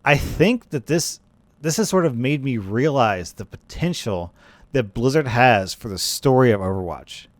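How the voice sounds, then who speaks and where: A man's voice: medium pitch, lively intonation, measured pace, no room sound. A man hosting a gaming video with casual, conversational commentary, often repeating phrases for emphasis.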